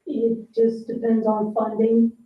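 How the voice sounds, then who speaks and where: A woman giving measured, slightly hesitant answers informally at a public meeting. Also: on the high side, completely flat delivery, a crowd in the background. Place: echoey meeting room, picked up from afar. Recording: roomy, distant, muffled meeting audio.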